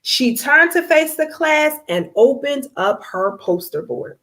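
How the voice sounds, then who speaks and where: Slow, animated woman reading to children. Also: high voice. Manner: expressive, addresses young listeners directly. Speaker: children's book author reading aloud. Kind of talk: storybook read-aloud to children.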